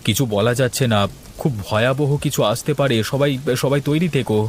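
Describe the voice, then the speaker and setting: A man's voice: mid-range voice, fairly quick, a little hiss or hum, close to an everyday voice. A man voicing a steadfast, reassuring village father in a cartoon, speaking earnestly. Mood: worried. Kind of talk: scripted cartoon dialogue.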